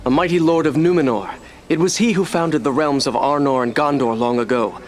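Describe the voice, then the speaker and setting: An actor playing a humble, earnest young ranger in a fantasy game, sincere and slightly formal. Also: male voice, a little high, fairly quick, faint music behind, close to an everyday voice. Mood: grateful, proud. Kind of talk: dramatic fantasy video game acting.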